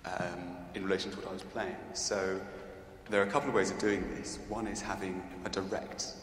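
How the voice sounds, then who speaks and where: A man from Scotland answers audience questions in a large church, pausing and restarting as he thinks. His voice sits fairly low. The reverb is long, with a steady noise behind him. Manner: hesitant, thoughtful, many fillers and restarts. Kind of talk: unscripted Q&A answers. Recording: echoey church with background noise.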